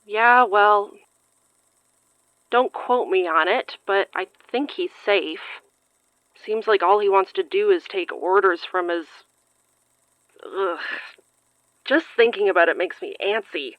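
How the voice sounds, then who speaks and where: Actress as sharp-tongued young woman, dry muffled sound. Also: medium pitch, takes it slowly, long pauses, no echo, close to an everyday voice. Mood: sarcastic, stressed. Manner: sarcastic, swearing, exasperated. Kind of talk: audio drama acting.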